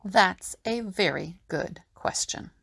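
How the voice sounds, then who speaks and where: A woman's voice: on the low side, expressive, slow pace, vocal fry, fairly bright tone, slightly rough, full character voice. A woman voicing a confident, unimpressed woman seer with a pointed, assured manner in an audio drama. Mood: accusing.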